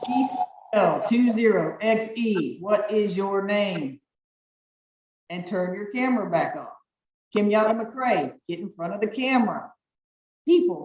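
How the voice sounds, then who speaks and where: A woman judge with a deep voice presiding over virtual hearings, giving firm, brisk, repeated procedural instructions over a video call. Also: darkish tone. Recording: echoey, distant, dull video-call audio.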